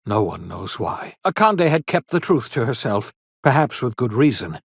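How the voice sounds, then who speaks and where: An actor playing an eccentric elderly scientist and rebel in a video game, earnest and theatrical, with formal, old-fashioned, emphatic phrasing. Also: male voice, medium pitch, sing-song, moderate pace, slightly rough, no echo, studio quality. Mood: grave, worried. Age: older. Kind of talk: video game character dialogue.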